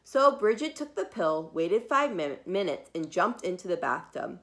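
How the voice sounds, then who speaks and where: A woman reading a children's book aloud like a preschool teacher, expressive and emphatic, with dramatic pauses and small asides to her young listeners. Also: on the low side. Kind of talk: animated read-aloud storytelling for young children.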